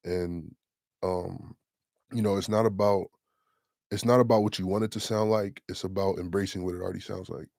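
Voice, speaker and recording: A young man in his twenties, a rapper with a deep voice, talking casually and loosely in an interview. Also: steady tone, vocal fry, a little mellow, dry recording, studio quality. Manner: laid-back, slangy, drawn-out affirmations. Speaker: rapper, guest.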